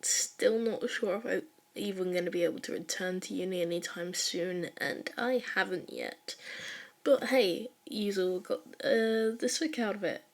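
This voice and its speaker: A woman's voice: mid-range voice, a little slow. A young adult woman speaking informally as she introduces and reads her own fan fiction. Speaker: podfic author and reader. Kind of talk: casual spoken intro and fan fiction narration.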